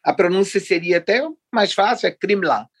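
A woman in her sixties from Rio de Janeiro talks as an interview guest in a husky, breathy voice at a middling pitch. She chats freely, wanders off on side stories and laughs easily. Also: normal speed. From Rio de Janeiro, Brazil. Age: 60s. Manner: chatty, digressive, laughs often. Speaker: writer, guest. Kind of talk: casual interview chat.

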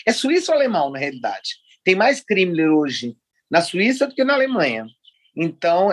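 A woman's voice: on the low side, slightly rough. A woman in her sixties from Rio de Janeiro, a writer chatting as a guest in an interview, talking in long digressions and laughing often. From Rio de Janeiro, Brazil. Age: 60s. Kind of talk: casual interview chat.

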